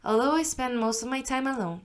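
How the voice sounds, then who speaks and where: A woman voice actress reads a first-person memoir audiobook in scripted narration. Her storytelling is warm and conversational, with lively asides. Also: sits high, a little fast.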